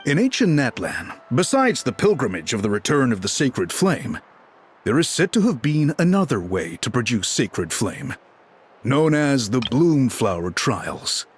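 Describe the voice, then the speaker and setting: Learned game chief, composed, deliberate, scholarly, husky, wide-ranging intonation. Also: male voice, medium pitch, big pitch swings. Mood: measured, grave. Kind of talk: measured video game dialogue, expository.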